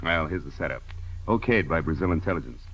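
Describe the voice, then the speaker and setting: An actor playing a no-nonsense intelligence chief in a 1940s-style radio drama, matter-of-fact and authoritative. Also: male voice, extremely low pitch, animated, fast, fairly bright tone, breathy edge, heavily acted. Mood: businesslike. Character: brisk, businesslike male intelligence chief, no-nonsense. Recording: dull old radio broadcast recording.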